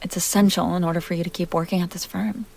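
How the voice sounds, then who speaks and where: A woman playing a seductive, refined vampire heiress in an intimate roleplay audio drama, speaking softly in deliberate, genteel phrases with breathy, teasing asides that often drop to a whisper. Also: lowish voice, little pitch movement. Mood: polite, explaining.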